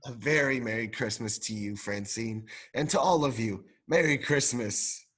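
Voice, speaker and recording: A man's voice: mid-range voice, moderate pace, a bit bright, breathy edge. A male drama student playing an elderly reformed miser, joyful and repentant, in a radio play. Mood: repentant, warm.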